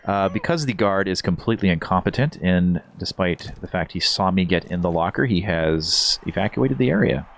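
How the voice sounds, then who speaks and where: A man giving deadpan, mock-dignified commentary on his own video game stream in a hushed golf broadcast style. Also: sits low, normal speed, creaky, hardly any pauses, a little hiss or hum.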